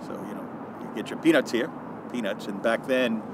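Older new guy, low voice, chatty storyteller, park noise. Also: fairly quick. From New York. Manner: chatty, nostalgic, mimics vendor calls. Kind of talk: street interview, storytelling. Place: park bench outdoors by a river. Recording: noisy outdoor park.

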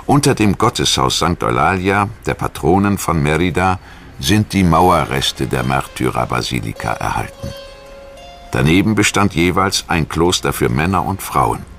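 Very deep, gravelly male documentary narrator. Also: animated, normal speed, fairly bright tone. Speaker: documentary narrator and voice-over translator. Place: studio voice-over. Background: music.

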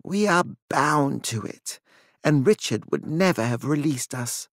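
A man's voice: fairly high pitch, expressive, a little slow, dry recording, clean studio sound. A man voicing a cold, commanding woman who speaks in a level, formal, severe way. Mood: cold, stern.

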